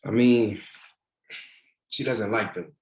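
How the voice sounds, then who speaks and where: A man's voice: lowish voice, takes it slowly. A man reading a teasing, devoted boyfriend in a naturalistic drama table read, conversational and a little hesitant. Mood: sheepish, hesitant. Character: teasing, devoted boyfriend, nervous about his grandmother. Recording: virtual meeting recording.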